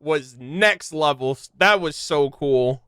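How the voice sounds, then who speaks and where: A man's voice: a little high, takes it slowly, pushed and bright, slight background noise. A man giving casual, slangy reaction commentary as a game streamer, easily amazed. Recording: game music behind.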